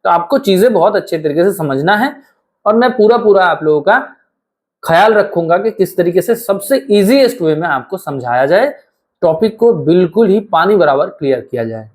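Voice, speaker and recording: A man with a hoarse, sore throat, teaching an online exam-prep lecture and talking straight to his students, repeating key phrases slowly. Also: high-pitched, lively intonation, darkish tone. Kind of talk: online lecture with course promotion.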